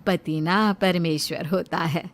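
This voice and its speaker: A woman voicing a submissive, traditional village mother-in-law in a cartoon story, admonishing in a deliberate way. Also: lowish voice, fairly even intonation, pitched below the performer's own voice.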